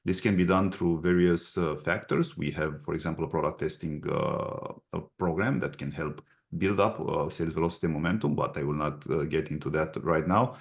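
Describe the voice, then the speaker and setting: A man from Romania with a Romanian accent, explaining advice as an interview guest in a halting, instructive way. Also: rumbling low, creaky. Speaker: guest expert, agency representative.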